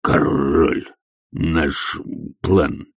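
Werewolf baron, growling, husky, slow, one-word grunts. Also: male voice, a bass voice, steady tone, no echo, clean studio sound, heavily acted. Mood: gruff. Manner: slow, monosyllabic. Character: hulking gruff male werewolf baron, slow-witted. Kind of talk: audiobook character voice.

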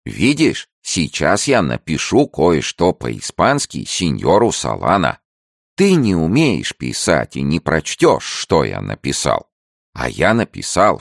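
A sly, boastful stout merchant, put on by a man reading a novel aloud. He drawls his words slowly with smug, wheedling emphasis, in a very deep, gravelly voice. The sound is dry and close. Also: dry recording, heavily acted. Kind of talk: audiobook character voice.

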